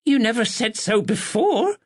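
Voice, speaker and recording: A man voicing a sharp, relentless, bedridden elderly mother with a dialect. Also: very high voice, expressive, unhurried, pitched above the performer's own voice, exaggerated voice. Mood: sharp, insistent. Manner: blunt, relentless, dialect "were".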